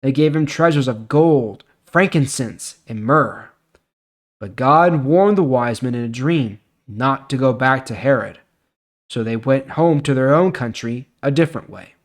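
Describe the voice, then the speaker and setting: Man, mid-pitched voice, Bible series host, scripture reading, informal explanatory commentary. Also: lively intonation, unhurried.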